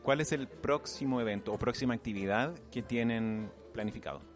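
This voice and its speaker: A man's voice: mid-range voice, fairly quick. A man working as a radio interviewer at a cultural event, asking polite questions with small restarts. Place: embassy cultural celebration. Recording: interview with loud music behind. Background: music playing.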